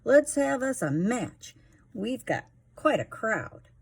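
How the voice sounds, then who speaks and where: Storybook bully, young and smug, bossy and boastful, pitched up and forceful, slightly rough-edged played by a woman reading aloud. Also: very animated, takes it slowly, heavily acted. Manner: loud, boastful, shouting. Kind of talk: storybook character voice read aloud.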